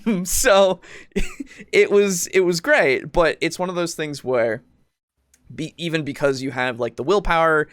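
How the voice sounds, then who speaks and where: A man co-hosting a podcast, talking casually about tabletop roleplaying in a halting, rambling way with frequent swearing. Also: fairly high pitch, fairly bright tone, no echo, clean studio sound. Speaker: podcast co-host.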